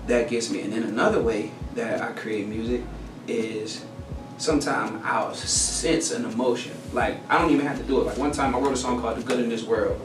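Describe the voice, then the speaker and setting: Give me an animated, slangy man telling stories as an interview guest, recorded from afar in a roomy space. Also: mid-range voice, brisk, music in the background. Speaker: guest songwriter. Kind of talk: casual interview storytelling. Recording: echoey room, distant mic, noisy.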